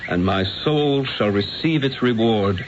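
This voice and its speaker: An actor playing a proud, witty master painter in a radio drama, speaking in a theatrical, haughty and eloquent manner. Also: male voice, on the low side, expressive, a little slow, crowd noise. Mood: haughty, bargaining. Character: proud, witty, grandiose male master painter narrating his story. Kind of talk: radio drama acting with first-person narration. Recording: dull vintage radio broadcast with music.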